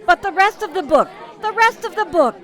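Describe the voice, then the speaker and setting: A woman's voice: very high voice, animated, fairly quick, fairly bright tone, some chatter behind. A woman in amateur radio theater playing an anxious codebreaker, urgent and pleading as she gives warning. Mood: desperate warning. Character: anxious female codebreaker desperately warning a man.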